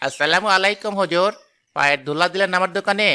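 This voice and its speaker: Greedy male shopkeeper played by a man, sneering and fawning, sharp bright tone, high-pitched, cartoon. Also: fast talker, slight background noise, full character voice. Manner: harsh to the poor, fawning to the rich. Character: greedy rude adult male lemon seller. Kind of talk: cartoon voice acting.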